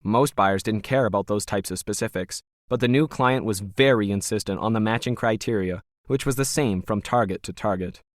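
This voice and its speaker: A man's voice: on the low side, moderate pace, hardly any pauses, dry recording, clean studio sound. A man reading scripted fiction as a narrator.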